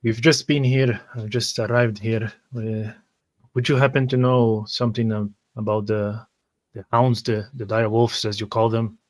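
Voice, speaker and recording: A man playing a tabletop roleplaying game, speaking in character and describing his actions in a polite, measured, hesitant way. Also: on the low side, little pitch movement, a little mellow, dry recording.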